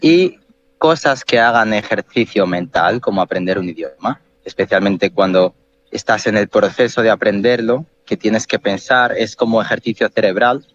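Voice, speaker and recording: A man hosting a podcast, calmly explaining ideas step by step with examples. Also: fairly low pitch, moderate pace. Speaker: podcast host. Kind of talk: explanatory casual chat. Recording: dull, muffled sound.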